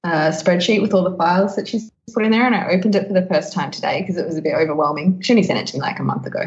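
A woman's voice: on the low side, very fast, darkish tone. A woman talking frankly and emphatically as a podcast host in a casual chat, slightly muffled.

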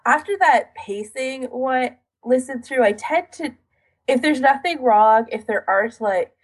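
Husky-voiced woman chatting casually. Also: sits high, unhurried. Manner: rambling, filler-heavy delivery with frequent "like". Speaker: podcast co-host. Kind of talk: casual chat about audio editing.

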